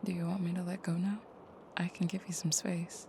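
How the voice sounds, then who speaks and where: A woman playing an intimate vampire girlfriend in an audio roleplay, speaking softly and often dropping into a whisper. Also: fairly low pitch, level tone, a little fast, a little hiss or hum, natural voice. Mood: tender, soothing. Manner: soft, breathy murmuring, often whispered, soothing. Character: female vampire girlfriend, protective and tender, menacing in nightmare.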